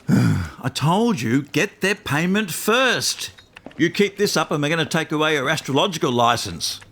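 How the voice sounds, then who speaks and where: Man playing a high-voiced, swooping bluffing astrologer. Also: big pitch swings, unhurried, fairly bright tone, a little hiss or hum, full character voice. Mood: exasperated. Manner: hesitant, improvising, stammering salesman patter. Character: bluffing male phone astrologer, smugly mystical con man. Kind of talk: comedy podcast sketch.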